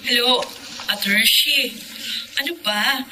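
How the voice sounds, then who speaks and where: An actress with a Filipino accent playing an exasperated younger sister in a radio drama, sharp, nagging and questioning. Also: female voice, a little high, takes it slowly, noisy background. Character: exasperated younger sister scolding her older sister.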